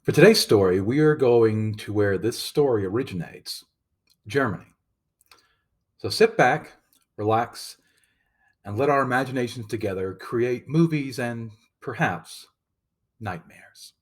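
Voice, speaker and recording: A man narrating a fairy tale as a storytelling host, in a theatrical, expressive dramatic reading. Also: medium pitch, unhurried, long pauses.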